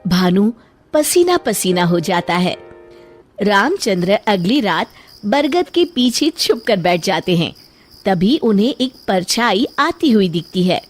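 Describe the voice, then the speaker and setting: A woman tells a children's cartoon story as a steady narrator. She speaks slowly, and her pitch rises and falls a lot. Faint music plays under her voice.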